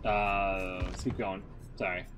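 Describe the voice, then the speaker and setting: Guy streaming a game, lowish voice, music behind him, just chatting. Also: level tone, takes it slowly. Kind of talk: casual live-stream commentary.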